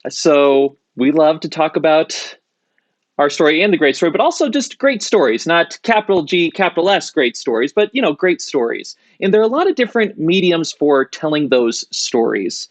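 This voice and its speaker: A man's voice: a little high, big pitch swings, moderate pace, no room sound. A man hosting a podcast interview, chatty and joking, often correcting himself as he goes. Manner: joking, rambling with self-corrections. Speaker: podcast host. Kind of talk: casual interview chat.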